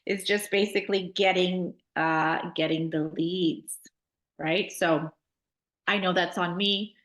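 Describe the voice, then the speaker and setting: A woman's voice: lowish voice, fairly even intonation, takes it slowly, a bit bright. A woman real estate agent giving her takeaway in a group coaching call, friendly and candid, with some fillers.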